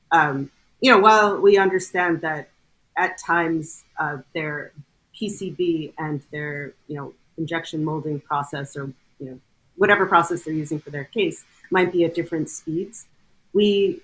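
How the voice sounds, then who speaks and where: A woman guest on a casual podcast, explaining things in a measured way. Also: low-pitched, a bit bright, breathy edge, poor audio. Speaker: guest, hardware audit committee member.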